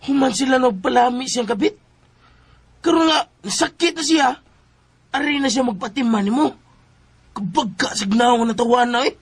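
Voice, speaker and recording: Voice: medium pitch, normal speed, long pauses, husky. An actor with a Bisaya accent playing a resentful, defiant young son in a radio drama, accusing and emotional. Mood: bitter, accusing. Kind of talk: Philippine radio drama acting.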